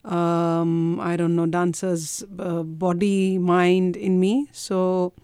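A woman with an Indian accent telling personal stories in an interview, measured and articulate, pausing to find words. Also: on the low side, dark tone, clear, resonant tone, no room sound. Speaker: dance teacher and interview guest. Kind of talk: reflective personal storytelling in an interview.

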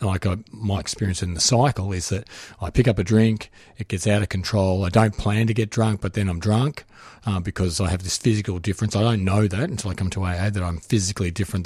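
A man's voice: sits low, darkish tone, dry recording. A middle-aged man talking as a guest, speaking frankly and reflectively about himself in an open conversation. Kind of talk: candid conversation.

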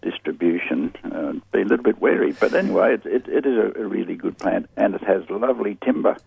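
A man with a croaky voice, chatting about plants on radio with many fillers and restarts. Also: fairly low pitch, expressive, fast talker, dry recording, narrow, tinny audio. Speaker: horticulture expert guest. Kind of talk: casual radio chat.

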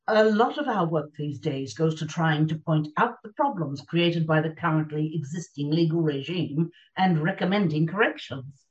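Distant, measured female official, acted by a woman. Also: deep voice, lively intonation. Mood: measured, defensive. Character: sane, measured female UN official with bureaucratic authority. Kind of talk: radio drama acting. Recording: distant mic, slightly dull sound.

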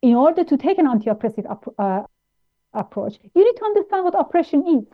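A woman from Iran, raised in Austria, speaking as an interview guest in a halting way with many fillers and restarts. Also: high-pitched, big pitch swings. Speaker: guest, plant-based nutritionist. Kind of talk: interview conversation. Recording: tinny phone-like line.

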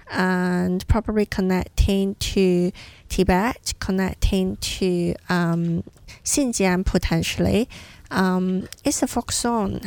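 Woman radio host, Cantonese first language, slow halting delivery, smooth but gravelly tone, dry close sound. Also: mid-range voice, darkish tone, no room sound. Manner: halting, with many fillers. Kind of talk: casual music show presenting.

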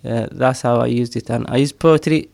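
Man, middle-aged, gravelly, dry close sound, hesitant with fillers. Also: mid-range voice, fairly even intonation, moderate pace, a little mellow, no room sound. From Somaliland. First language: Somali. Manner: hesitant, many fillers, frequent laughs. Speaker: poet and storyteller. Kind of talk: casual interview talk.

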